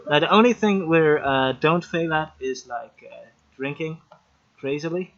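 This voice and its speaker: A man hosts a casual live stream over a video call, his voice a touch high. He talks in a chatty, joking way, half distracted by technical trouble. The audio is slightly muffled and lo-fi. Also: measured pace, fairly bright tone. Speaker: host.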